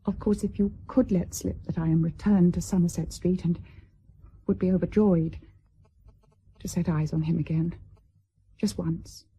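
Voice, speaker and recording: Period radio drama: estranged aristocratic wife, British, middle-aged, husky, mellow, formal, proud yet brittle. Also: female voice, fairly low pitch, steady tone, rough recording. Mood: pleading, wistful. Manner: formal, measured, hesitant.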